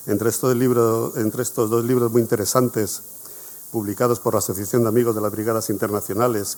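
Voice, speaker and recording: A man hosts a history conference without a script. His voice sits a bit low, and he often circles back, repeating words and adding fillers as he thanks people and introduces guests. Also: darkish tone. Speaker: conference coordinator and host. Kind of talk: spontaneous presentation to an audience.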